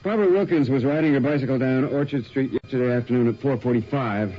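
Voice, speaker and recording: Male sergeant actor, businesslike, fast official delivery, muffled radio drama sound. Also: medium pitch, natural voice. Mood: matter-of-fact. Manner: matter-of-fact, official. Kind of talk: 1980s radio drama acting.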